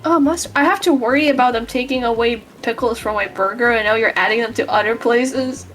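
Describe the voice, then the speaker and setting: Female streamer, higher voice, non-native English speaker, loose teasing chat with stumbles, faint music underneath. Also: fairly quick, a bit bright. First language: not English. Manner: teasing, rambling, stumbles over words. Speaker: guest streamer. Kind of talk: casual livestream chat.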